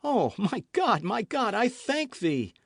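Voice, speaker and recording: A frantic young hero who cries out loudly and urgently, his voice pushed high, as a male reader acts him. The pitch leaps around, and the sound stays dry and close in a storybook reading. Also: sing-song, normal speed, dry recording. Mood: relieved. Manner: crying out, urgent. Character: young man, passionate and frantic, devoted lover.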